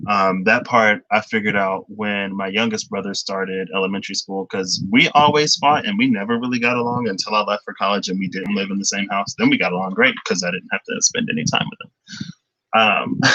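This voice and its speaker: A man's voice: on the low side, pushed and bright, no echo, muffled recording. A young man chatting loosely through a tarot reading, rambling and laughing often. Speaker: tarot reader. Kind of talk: casual livestream tarot reading.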